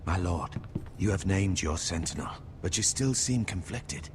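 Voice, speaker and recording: A man playing a cautious knight and advisor who speaks in a measured, persuasive way. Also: deep voice, lively intonation, slight background noise. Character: shrewd cautious male advisor, sworn knight.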